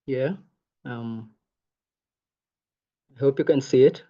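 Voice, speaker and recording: A man's voice: medium pitch, slow pace, warm tone. A young man from Kenya whose first language is Maasai, giving an earnest online presentation over a video call with many ums. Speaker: intern presenter. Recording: muffled video call audio.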